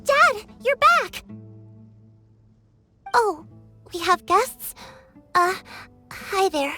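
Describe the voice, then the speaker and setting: A woman voicing a shy, polite young village girl in an anime video game, hesitant and soft-spoken. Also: very high voice, sing-song, very slow, faint music behind, exaggerated voice. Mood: surprised, shy.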